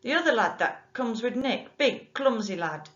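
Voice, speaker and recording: A woman's voice: mid-range voice, lively intonation, a little slow, a bit bright, voice pushed up. A woman voicing a kindly, chatty man with a strange accent, in a dull lo-fi recording. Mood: friendly.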